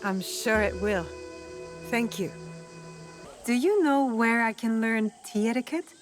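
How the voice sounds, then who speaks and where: A determined young woman in disguise in a game cutscene. Her voice sits a little high, and she is composed, direct and probing, with clear music behind her. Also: lively intonation, measured pace. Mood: polite. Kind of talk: video game cutscene acting.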